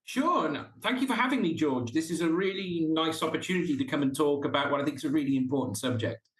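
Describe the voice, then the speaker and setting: Welsh man with a higher voice, echoey room. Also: expressive, quick pace, a bit bright. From South Wales. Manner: earnest, with frequent restarts and stumbles. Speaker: podcast guest. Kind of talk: casual interview chat.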